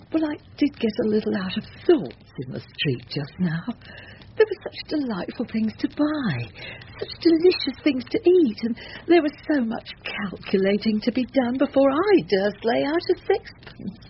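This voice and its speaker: A woman playing a flustered, remorseful working-class Victorian wife and mother, tearful and fretful, in an old-time radio drama. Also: fairly high pitch. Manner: tearful, fretful, sighing exclamations. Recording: muffled, hissy old radio drama recording.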